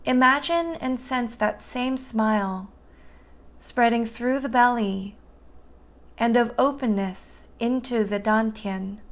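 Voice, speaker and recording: Slow, soothing woman guiding meditation. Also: on the high side. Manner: soothing, unhurried, gentle. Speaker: meditation guide. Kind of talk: guided meditation narration.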